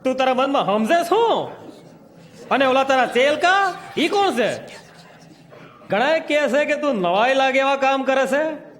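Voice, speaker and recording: A man's voice: very high voice, expressive, a little slow, long pauses, a bit bright, full character voice. An actor playing a mocking, bullying male ruler in an audio Bible drama, taunting and theatrical. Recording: long hall reverb with music behind.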